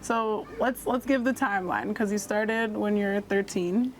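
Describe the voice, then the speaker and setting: A woman's voice: medium pitch, normal speed, a little hiss or hum, rough recording. A woman hosting a podcast, chatting casually with a guest, full of fillers and encouraging asides. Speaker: podcast host. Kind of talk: casual interview chat.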